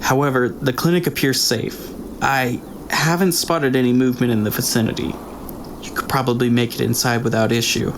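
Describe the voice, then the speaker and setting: A man's voice: mid-range voice, fairly even intonation, moderate pace, natural voice. A man voicing a nervous young male scout who answers hesitantly and stammers. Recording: noisy recording with gravelly texture.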